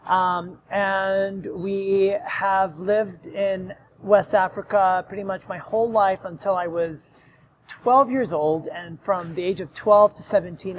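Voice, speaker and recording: A man's voice: high voice, slight background noise. A man who grew up in Nigeria in a Lebanese family, a restaurant owner giving earnest, rambling interview answers with many ums, recorded in a restaurant. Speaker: restaurant owner, podcast guest.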